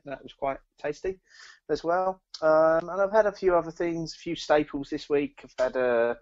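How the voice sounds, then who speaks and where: A man's voice: medium pitch, dry recording, lo-fi sound. A British man co-hosting a casual beer podcast, often repeating words as he gets his sentences going. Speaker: podcast co-host.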